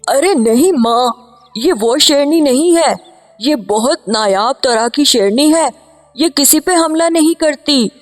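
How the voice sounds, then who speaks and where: A woman plays an eager, naive young hunter from a cartoon tale, an earnest boyish son. The voice is high and unhurried, in long reverb with music behind. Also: animated. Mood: reassuring, proud.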